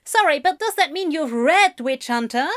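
A woman voicing an eager journalist with a loud, politely pressing manner, as in an audiobook story. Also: very high-pitched, animated, moderate pace, no echo, clean studio sound, pitched above the performer's own voice, full character voice. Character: eager, probing journalist at a literary event.

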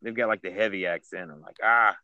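A man's voice: low-pitched, fairly even intonation, brisk, pushed and bright, no room sound, highs cut off. A man hosting a casual podcast chat, stammering and repeating words, with laid-back slang.

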